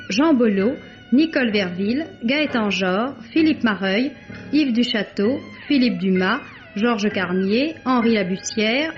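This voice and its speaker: A woman announcing a radio drama, reading scripted introductions and credits with formal, deliberate enunciation. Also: a little high. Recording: dull vintage radio recording. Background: music.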